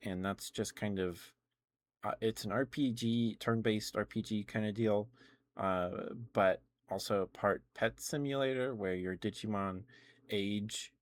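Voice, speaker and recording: A man's voice: on the low side, a little slow, no echo, studio quality. A man hosting a livestream, chatting casually in an ASMR setting about video games in a meandering, self-correcting way. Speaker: livestream host.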